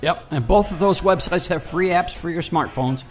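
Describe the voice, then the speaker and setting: Man, slightly high-pitched, radio co-host, casual promotional chat, folksy, hesitant, emphatic repetition. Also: normal speed, faint music behind.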